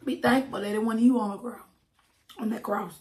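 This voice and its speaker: A woman's voice: a little high, steady tone, fairly quick, roomy sound, a little hiss or hum. Chatty woman eating on camera. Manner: chatty, preachy asides, laughs often. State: slightly hoarse. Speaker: mukbang creator. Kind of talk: casual eating-show chat.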